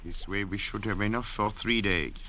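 A man acting in a 1940s radio drama as a cold, haughty French doctor and murderer, speaking in a measured, oratorical way on an old radio recording. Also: on the low side, sharp tone. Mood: haughty, disdainful. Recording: dull, noisy vintage radio recording.

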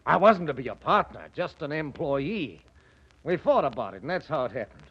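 An actor playing a defiant, evasive murder suspect with a husky voice in a 1940s radio drama. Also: male voice, fairly high pitch, big pitch swings, normal speed, heavily acted. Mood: bitter, confessing. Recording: dull 1940s radio recording.

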